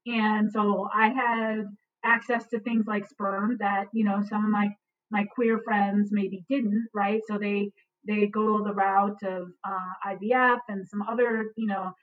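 A woman's voice: mid-range voice, level tone, measured pace, far from the mic. A woman in her forties, an adoptee and writer, speaking earnestly in a panel conversation and often adding "you know" and "right". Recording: tinny phone-like line.

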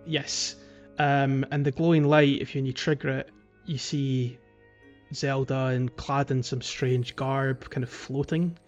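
A man with a mid-pitched voice co-hosts a relaxed gaming podcast. He explains in a halting, self-correcting way, with music playing behind him. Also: highs cut off. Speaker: podcast co-host. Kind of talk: casual video game podcast discussion.

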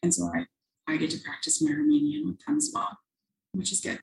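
A woman's voice: high-pitched, wildly swooping pitch, fairly quick, creaky, a little mellow, echoey room. A young woman, a missionary, answering interview questions earnestly and thoughtfully, with frequent ums. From United States.

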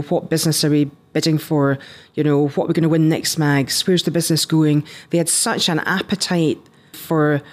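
A woman in her fifties with a Scottish accent, telling her career story conversationally as an interview guest. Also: deep voice. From Scotland. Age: 50s. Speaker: interview guest, business founder.

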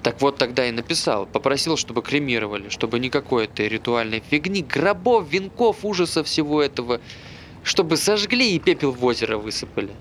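A man's voice: mid-range voice, expressive, fairly quick, fairly bright tone, slight background noise, close to an everyday voice. An actor playing a bitter, aggrieved man who hates dogs, grumbling conversationally in a naturalistic stage play. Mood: resigned.

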